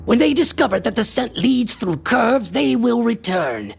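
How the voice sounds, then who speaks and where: A man's voice: very high-pitched, big pitch swings, a little slow, vocal fry, faint music behind, full character voice. A male actor playing a frantic, obsessed occultist in a horror radio play, declaiming in a theatrical, grandiose style. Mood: grim resolve.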